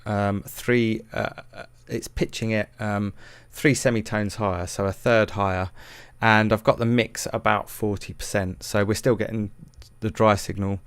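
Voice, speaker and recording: A man's voice: on the low side, steady tone, a little slow, few pauses, smooth, no room sound. A man narrating a music production tutorial in a casual, hesitant, self-deprecating way.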